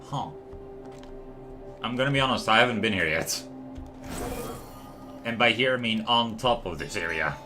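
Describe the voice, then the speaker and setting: A man's voice: on the low side, expressive, moderate pace, long pauses. A man giving casual, rambling gameplay commentary as a livestream host, chatting to viewers as he goes. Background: lo-fi music and game sound.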